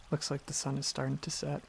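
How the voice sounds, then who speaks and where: A man playing a gentle, affectionate dragon boyfriend in an intimate ASMR roleplay, speaking softly and earnestly with some hesitant rambling. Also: medium pitch, monotone, normal speed, slight background noise, lightly acted, rough recording. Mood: content, reluctant. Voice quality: soft, husky, slightly gravelly, breathy.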